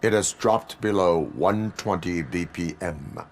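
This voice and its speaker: A man's voice: deep voice, a little slow, slight background noise. A man playing a stoic cyborg policeman in a video game, speaking in a clipped, formal, machine-like way. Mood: flat, stoic. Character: stoic cyborg policeman, dead officer resurrected in metal body.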